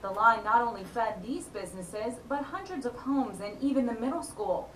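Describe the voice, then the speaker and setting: A woman's voice: a little high, moderate pace, fairly bright tone. Distant, echoey female news reporter. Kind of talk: scripted news package narration. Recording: echoey, distant, noisy playback.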